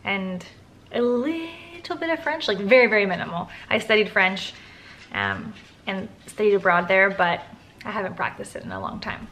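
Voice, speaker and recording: A woman's voice: medium pitch, big pitch swings, moderate pace, fairly bright tone, a little hiss or hum. A woman in her thirties answering viewer questions to camera from her living room, candid and chatty with lots of "like" fillers. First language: English. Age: 30s. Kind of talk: casual Q&A chat to camera. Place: living room at home, indoors. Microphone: camera at close range.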